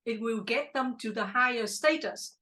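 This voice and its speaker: A woman's voice: on the high side, normal speed, sharp tone. Earnest woman, native Vietnamese speaker. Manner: earnest, emphatic, hesitant. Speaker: interviewer. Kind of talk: conversational panel discussion.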